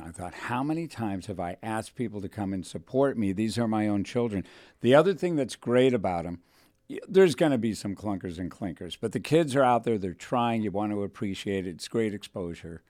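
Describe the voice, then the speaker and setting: A man in his forties hosting a radio talk show, telling stories in an animated way and putting on voices when he quotes people. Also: lowish voice, no room sound, clean studio sound. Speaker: radio host. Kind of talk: casual radio show chat. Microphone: studio microphone.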